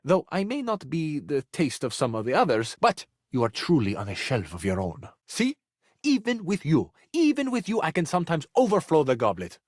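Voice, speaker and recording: An actor playing a passionate, sensitive living cabinet in a video game, speaking in flowery, formal phrases with an Italian accent and Italian endearments. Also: male voice, fairly high pitch, wildly swooping pitch, normal speed, no room sound, clean studio sound. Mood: warm, grateful. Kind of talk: theatrical romantic video game dialogue.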